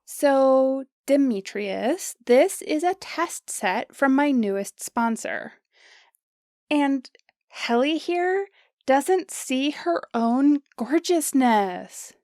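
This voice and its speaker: A pushy young female influencer in a comedic audio drama. Her voice is fairly high and she takes her time, gushing theatrically. The recording is close and dry. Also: animated, extremely slow, a little mellow, no echo, exaggerated voice. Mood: coaxing.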